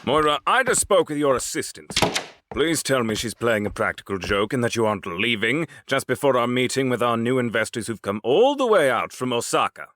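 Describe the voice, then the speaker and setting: A man's voice: mid-range voice, big pitch swings, fairly quick, fairly bright tone, no room sound. A man acting in an audio drama as a formal, exasperated businessman, wordy and reproachful but easily cowed.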